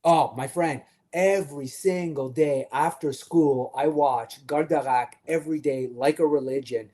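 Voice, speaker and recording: A man from Quebec who speaks English as a second language with a Quebec French accent, chatting excitedly as a podcast co-host. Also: fairly high pitch, a little slow. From Quebec, Canada. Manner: animated, excitable storyteller. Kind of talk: casual chat.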